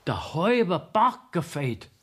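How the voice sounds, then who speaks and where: An actor playing a sly, nosy court clerk in a Bavarian dialect radio play, probing and insinuating with mock concern. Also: male voice, fairly high pitch, big pitch swings, slow pace, husky, exaggerated voice. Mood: needling.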